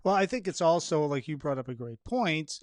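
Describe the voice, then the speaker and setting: Man, podcast host, slightly high voice, casual conversational delivery, dry sound. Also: animated, normal speed, no echo.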